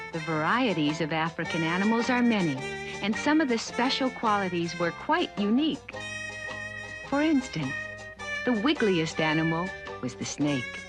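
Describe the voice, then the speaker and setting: Animated woman narrating a children's story. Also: mid-range voice. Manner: expressive, chatty storytelling with asides to listeners.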